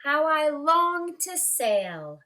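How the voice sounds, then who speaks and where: A woman voicing a tiny female sea snail who longs for adventure, in an expressive storybook read-aloud. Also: high-pitched, very slow, pitched above the performer's own voice, full character voice. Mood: wistful longing.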